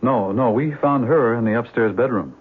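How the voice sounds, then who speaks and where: An actor playing a courteous, grave police lieutenant who speaks hesitantly in a measured way, in a radio drama. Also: male voice, mid-range voice, breathy edge, close to an everyday voice. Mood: measured, puzzled. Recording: muffled lo-fi 1970s radio recording.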